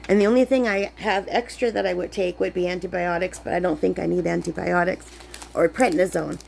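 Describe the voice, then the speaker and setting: Woman vlogger, under the weather, rambling chat, gravelly fry. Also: fairly low pitch, steady tone, brisk, darkish tone, slight background noise. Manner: chatty, rambling, many fillers. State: sick, voice lower than usual. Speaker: crafting vlogger. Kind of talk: casual chat while crafting. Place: camp cabin indoors.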